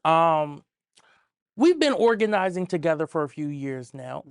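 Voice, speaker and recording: A man's voice: a little high, measured pace, smooth, dry recording. Man, interview host, casual, friendly, lots of ums, dry room. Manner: relaxed, friendly, filler-heavy.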